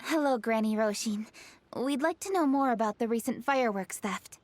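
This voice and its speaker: A woman's voice: on the high side, normal speed, slight background noise, close to an everyday voice. A woman playing a poised young noblewoman leader in a video game, serious and dutiful, speaking formally and firmly. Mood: reassuring, polite. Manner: composed, formal, firm. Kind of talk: video game character acting.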